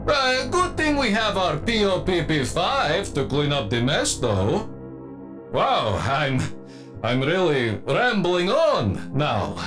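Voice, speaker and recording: A man's voice: fairly high pitch, very animated, slow, over music. A man playing a theatrical alien villain father, doting and lecherous, in a comedic audio drama with a rambling delivery. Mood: chatty, grateful.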